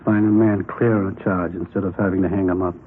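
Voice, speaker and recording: Male crime lab technician, played by an actor, clinical and lowish-voiced, vintage radio police drama, thin noisy sound. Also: level tone, quick pace, narrow, tinny audio, lightly acted. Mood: matter-of-fact. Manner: methodical, clinical delivery.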